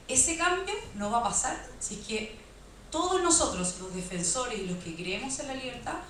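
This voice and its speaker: A woman's voice: mid-range voice, a bit bright. A woman, making an impassioned panel speech full of rhetorical questions. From Chile. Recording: echoey hall, distant mic, faint noise.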